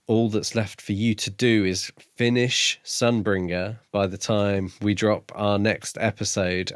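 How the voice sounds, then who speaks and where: A man co-hosting a podcast, talking casually about books in a measured, slightly hesitant way. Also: on the low side, clear, resonant tone, no room sound, studio quality. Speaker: podcast co-host. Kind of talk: casual book discussion.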